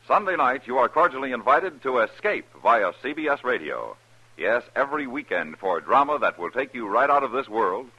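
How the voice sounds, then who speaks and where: A man's voice: mid-range voice, bright, forceful tone, slightly rough. A man with an American accent announcing programs in formal 1950s radio style. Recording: muffled old radio recording.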